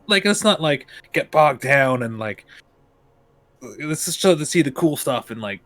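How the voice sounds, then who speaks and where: Lively man with a higher voice. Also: unhurried. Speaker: player. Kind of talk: casual roleplay chat.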